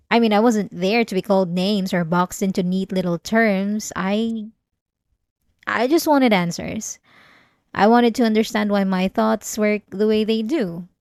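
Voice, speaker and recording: A woman's voice: medium pitch, a little mellow, smooth, dry recording, clean studio sound, close to an everyday voice. A woman playing an obsessive, manipulative young therapy patient in an ASMR roleplay, speaking politely and hesitantly with nervous little laughs and defensive insistence. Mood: defensive, earnest. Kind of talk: scripted ASMR roleplay acting.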